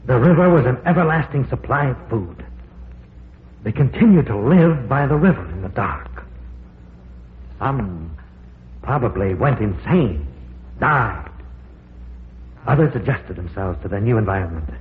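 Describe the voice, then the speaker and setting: A man playing a grave, learned professor in an old radio horror drama, speaking in a deliberate, theatrical, explanatory way. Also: mid-range voice, expressive, natural voice. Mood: grave, speculative. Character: learned, grave male professor exploring dark caverns. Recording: noisy, tinny, echoey old radio recording.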